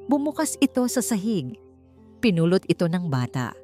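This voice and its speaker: Female story narrator, scripted drama read, slightly low register, music underneath. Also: sing-song, moderate pace, mellow.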